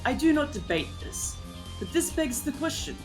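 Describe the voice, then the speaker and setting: Woman acting a high-voiced, smug theatrical prosecutor, music behind. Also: very animated, moderate pace. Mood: arguing. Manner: pompous, mocking, dramatic. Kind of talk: live amateur fan dub of a courtroom game.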